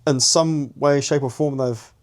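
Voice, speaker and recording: A man's voice: mid-range voice, steady tone, unhurried, darkish tone. A young New Zealand man co-hosting a podcast, chatting casually and animatedly, laughing often and talking in slang. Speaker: podcast co-host. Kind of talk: casual chat.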